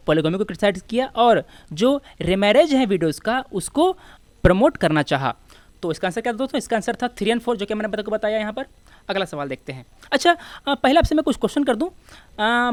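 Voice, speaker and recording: A woman's voice: mid-range voice, no room sound. A woman with an Indian accent teaching exam material in an informal, explanatory lecture, addressing her students as friends. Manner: explanatory, addresses students as friends, switches between two languages.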